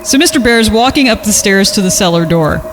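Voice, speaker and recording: A podcast reader works through a story aloud and breaks off for dry, deadpan asides. The voice sits in a middle pitch, with clear music running behind it. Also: a little fast, poor audio.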